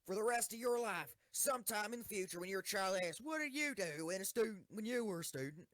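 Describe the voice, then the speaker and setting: Casting: woman as swaggering schoolgirl commander, rousing war oratory, very expressive pitch, dry close sound. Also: fairly quick, no room sound, natural voice. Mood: bombastic. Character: brash, bombastic schoolgirl tank commander giving a war speech. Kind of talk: rousing military speech in a comic audio drama.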